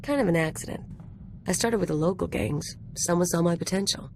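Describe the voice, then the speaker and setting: A woman's voice: fairly low pitch, fairly quick, darkish tone, slight background noise, close to an everyday voice. A woman playing a cynical, guarded, streetwise mercenary in a video game, with a tough, offhand delivery. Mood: wry, offhand. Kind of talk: video game dialogue acting.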